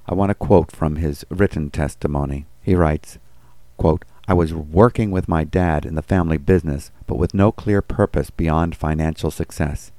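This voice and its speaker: A man's voice: a bass voice, moderate pace, dark tone, dry recording. A man reading scripted devotional commentary and scripture as a pastor.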